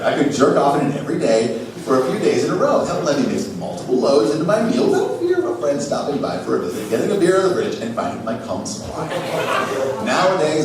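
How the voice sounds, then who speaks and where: A man hosting a live comedy show, reading aloud for laughs with theatrical, drawn-out emphasis. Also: on the high side. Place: small theater stage. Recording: echoey, noisy live recording from far off.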